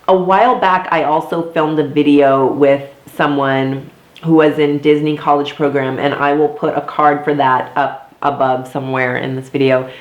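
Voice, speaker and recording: Low-voiced, congested woman vlogging. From Florida, US. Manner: chatty, many fillers and asides. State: congested, a bit nasal. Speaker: YouTuber, former theme park performer. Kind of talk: casual talking-head vlog. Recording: echoey room.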